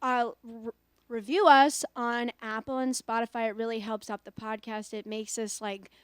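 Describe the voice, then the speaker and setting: A woman's voice: on the high side, fairly even intonation, normal speed, smooth, no room sound. A woman in her late twenties hosting a podcast in a casual, chatty style. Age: late 20s. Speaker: podcast host.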